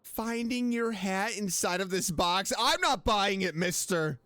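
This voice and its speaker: A woman's voice: medium pitch, animated, unhurried, fairly bright tone, dry recording. A woman streaming a game with casual commentary, reacting with joking scepticism. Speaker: streamer playing a story game.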